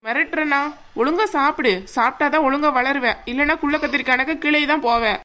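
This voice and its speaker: A woman plays a young aunt who argues back and complains. She talks fast with a high voice. Her manner is quick and defensive. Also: fairly even intonation, background noise, close to an everyday voice. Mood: arguing. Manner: argumentative, quick.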